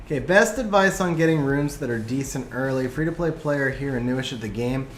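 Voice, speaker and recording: A man giving lively live commentary on game replays and chatting with viewers, his voice getting worn from a long stream. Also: medium pitch, roomy sound, faint music behind. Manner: animated, quick play-by-play, bursts of excitement.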